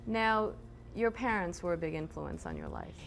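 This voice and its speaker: A woman's voice: lowish voice, wildly swooping pitch, normal speed, gravelly, over music, poor audio. A woman hosting an interview, asking questions with brief encouraging responses. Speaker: interviewer, host.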